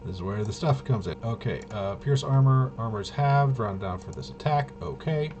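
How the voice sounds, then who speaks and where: A man's voice: mid-range voice, animated, normal speed, noisy background. A man casually walking through tabletop gameplay, thinking aloud and hesitantly muttering to himself as he works things out. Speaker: solo tabletop RPG player and presenter.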